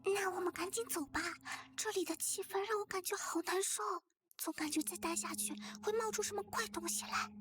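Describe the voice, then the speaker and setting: A woman voicing an expressive, impatient young girl in a visual novel. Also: very high voice, a little fast. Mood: uneasy. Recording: music behind. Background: game music.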